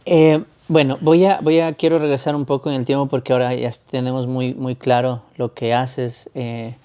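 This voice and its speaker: Mellow, smooth-voiced male podcast host. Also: medium pitch, little pitch movement, normal speed. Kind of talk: interview questions.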